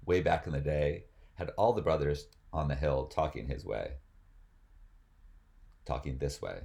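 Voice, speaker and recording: A man's voice: very deep voice, a little mellow. A man reading rap lyrics aloud as spoken word, as host of the reading.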